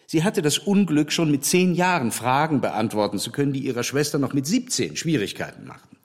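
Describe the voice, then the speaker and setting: Radio drama casting: an opinionated, dryly teasing gentleman, formal speech, husky edge, very lively intonation. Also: mid-range voice, fairly quick. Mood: frank, measured. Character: frank, critical English gentleman, dryly teasing. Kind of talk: literary radio play dialogue.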